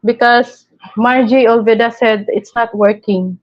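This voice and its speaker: A woman's voice: on the high side, steady tone, unhurried, lo-fi sound. A woman from the Philippines with a Filipino accent, hosting a casual live stream, chatting and reading viewer comments aloud with frequent laughs.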